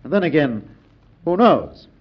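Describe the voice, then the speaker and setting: An actor playing a calm, scheming American senator in an old-time radio drama, speaking in a measured, oratorical way. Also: male voice, on the high side, breathy edge, heavily acted. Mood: smooth scheming. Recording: dull, lo-fi old radio recording.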